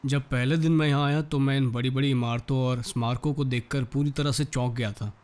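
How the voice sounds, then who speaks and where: A man's voice: medium pitch, steady tone, fairly quick, a little mellow, faint music behind. A man speaking earnestly as a cadet in an interview.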